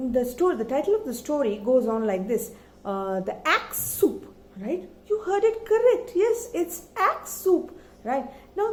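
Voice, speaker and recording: A woman tells a children's story in a high-pitched voice. She takes it slowly and dramatically, checking in with questions. The room around her is echoey. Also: a little mellow. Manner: dramatic, asks the listeners questions.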